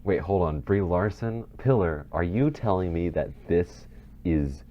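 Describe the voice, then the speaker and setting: Very deep-voiced man, muffled mic. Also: lively intonation, measured pace. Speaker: podcast co-host. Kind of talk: casual chat. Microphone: faulty mic on a voice call. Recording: dull lo-fi mic, faint noise.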